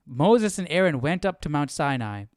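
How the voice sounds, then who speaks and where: Casting: male study-stream host, smooth clear voice, close dry sound, informal and questioning. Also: on the high side, big pitch swings, normal speed, no echo. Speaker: Bible study livestream host. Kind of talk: casual thinking aloud, reading scripture.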